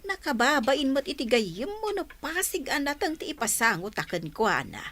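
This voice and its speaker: A woman's voice: high-pitched, sing-song, normal speed, a bit bright. A woman with a Filipino accent playing a doting, fussing old village grandmother in a radio drama. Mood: fond scolding. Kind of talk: Ilocano radio drama acting.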